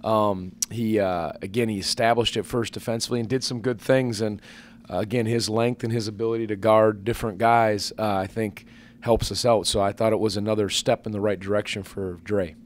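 Man, 40s, basketball coach, postgame press conference, earnest, measured, halting with fillers, table mic, faint room noise. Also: on the low side, fairly even intonation. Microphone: table microphone.

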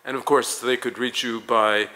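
A man interviewing a guest for a promotional video, asking polished, leading questions at a measured pace. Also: mid-range voice, steady tone, sharp tone, low-quality recording.

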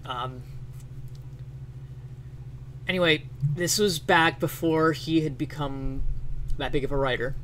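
A man's voice: fairly high pitch, steady tone, slow pace, long pauses, a bit bright, slight background noise, poor audio. A man in his thirties giving casual, off-the-cuff commentary, often searching for his words. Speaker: streamer presenting a letter. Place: small home bedroom with bookshelves. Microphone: close boom-arm microphone.

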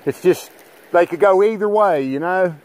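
A man's voice: sits high, moderate pace, a little mellow. A man giving rambling, folksy commentary to his viewers while fishing from a rocky riverbank, recorded on a body-worn camera. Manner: folksy, rambling, talks to viewers as guys and boys. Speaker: fishing vlogger. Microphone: body-worn action camera.

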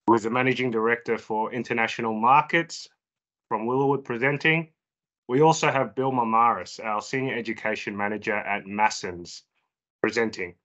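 A man's voice: medium pitch, a bit bright. A man hosting a webinar, reading a polite scripted introduction and housekeeping notes. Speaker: webinar host. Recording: dull lo-fi video call audio.

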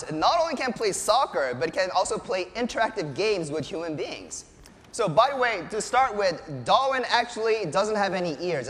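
High-voiced man presenting in a big hall. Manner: enthusiastic, animated showman. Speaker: presenter, robotics researcher. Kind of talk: live keynote tech demonstration. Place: large conference stage, indoors. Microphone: lapel mic. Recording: echoey hall with long reverb.

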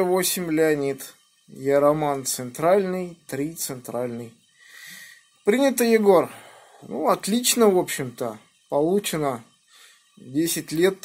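A middle-aged man with a slightly high voice speaks slowly and deliberately, like someone on a ham radio contact. He sounds encouraging and measured, recorded indoors on a phone. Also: a little mellow, husky. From Moscow region, Russia. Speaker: amateur radio operator. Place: indoors at a home radio desk by a window. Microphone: phone filming the radio.

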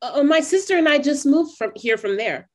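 A woman's voice: high voice, animated, moderate pace. A woman playing a guarded, direct and skeptical young job seeker in an online play reading. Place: indoors on a video call. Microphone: video call microphone.

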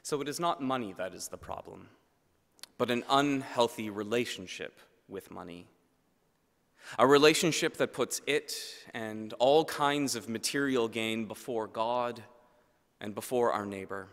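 A man in his thirties preaching a sermon at a lectern microphone in a large church, measured and earnest, letting his phrase ends fall low and quiet. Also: creaky. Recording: long church reverb.

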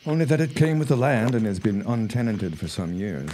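An actor playing an earnest, trusting 1910s flower grower in a radio drama, with formal, old-fashioned phrasing. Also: male voice, fairly low pitch, big pitch swings, brisk, mellow, slight background noise. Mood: subdued. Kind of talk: period radio drama acting.

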